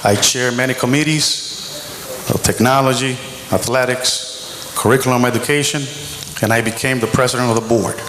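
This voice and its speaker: A middle-aged man giving a measured, earnest opening statement as a mayoral candidate at a school debate. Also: mid-range voice, steady tone, noisy background. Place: school auditorium. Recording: roomy hall with long reverb.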